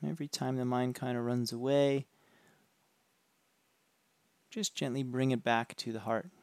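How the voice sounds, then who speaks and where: A man with a gravelly, creaky voice leads a guided meditation, speaking calmly and gently. The recording is close and dry, with no room sound around him. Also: mid-range voice, dry recording. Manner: calm, slow, gentle instructions.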